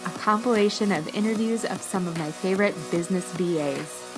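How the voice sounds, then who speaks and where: A woman's voice: medium pitch, a little fast, over music, low-quality recording. A woman hosting a podcast in an upbeat, chatty way, affirming her guest and asking follow-up questions. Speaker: podcast host. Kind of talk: casual interview hosting.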